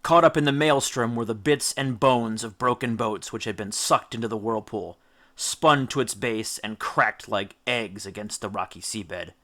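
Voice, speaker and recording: Bright, forceful male storybook narrator. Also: on the low side. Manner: theatrical, emphatic, with deliberate dramatic stresses. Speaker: audiobook narrator. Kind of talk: dramatic scripted storybook narration.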